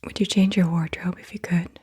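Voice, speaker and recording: Breathy whispering woman, ASMR. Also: on the low side, steady tone, fairly quick, mellow. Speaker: ASMR host. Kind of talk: soft-spoken whispered ASMR, reading questions. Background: computer keyboard typing.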